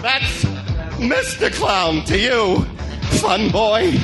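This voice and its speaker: Crazy gleeful clown villain, way high and swooping all over, comic book radio play, music under it. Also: male voice, animated, extremely slow, exaggerated voice. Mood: mocking.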